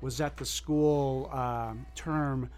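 A man's voice: medium pitch, steady tone, drawn-out words, a little mellow. A man with an American accent, casually asking questions as an interview host.

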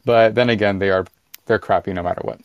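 A man chatting casually as a podcast host, with a flat, monotone delivery. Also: fairly low pitch, fast talker, clear, resonant tone, no echo, muffled recording. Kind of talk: casual chat. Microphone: remote call.

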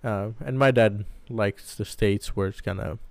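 A man in his twenties with a fairly low, smooth voice, recorded close and dry. He talks casually about himself as a podcast co-host, in a loose way with plenty of hedging. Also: a little slow, dry recording. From Baghdad, Iraq. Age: 20s. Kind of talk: casual personal storytelling.

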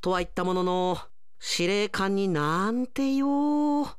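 A man's voice: high voice, animated, takes it slowly, smooth, no echo, clean studio sound. A man playing a hapless, anime-obsessed alien agent in human disguise, muttering to himself melodramatically in a radio drama. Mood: resigned.

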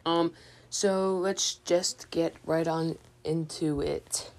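A woman's voice: deep voice, steady tone, takes it slowly. A woman hosting a podcast in a casual, informal way, hesitantly thinking aloud as she goes. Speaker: podcast host. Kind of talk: casual movie news chat.

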